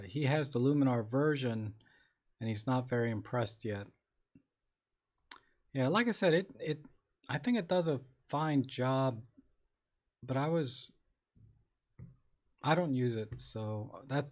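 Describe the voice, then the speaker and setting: A man chats casually on a live stream, reading out viewer questions and answering them. He rambles a bit and restarts his sentences often. His voice has a slight scratchiness and sits at a medium pitch. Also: takes it slowly, long pauses. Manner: rambling, relaxed, many restarts and fillers. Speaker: host of a live photography Q&A stream.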